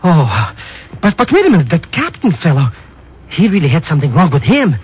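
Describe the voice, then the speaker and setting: A man's voice: fairly high pitch, wildly swooping pitch, brisk, a little mellow, husky, heavily acted. A male actor playing a flustered, timid, bumbling comic sidekick who stammers and repeats himself in a 1950s radio drama. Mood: puzzled, chatty. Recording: muffled 1950s radio recording with music.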